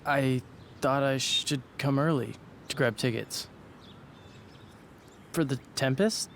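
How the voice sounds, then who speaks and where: A man's voice: medium pitch, takes it slowly, creaky, fairly bright tone, a little hiss or hum. A man playing an earnest, hopeful teenage boy, friendly and a little tentative, in a video game cutscene.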